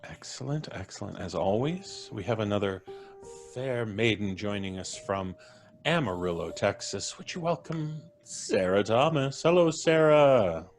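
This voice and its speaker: A man hosts a live stream, chatty and full of jokes as he brings on each guest. His voice sits on the low side, and faint music plays in the background. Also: animated, a little slow. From Canada. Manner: jokey, chatty, introducing guests with laughs. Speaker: host. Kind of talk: casual livestream chat.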